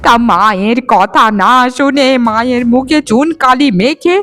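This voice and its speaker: A woman's voice: sits high, animated, unhurried, fairly bright tone. A woman voicing a greedy, bitter mother-in-law in a melodramatic cartoon, scolding with sarcasm and accusation. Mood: bitter, sarcastic.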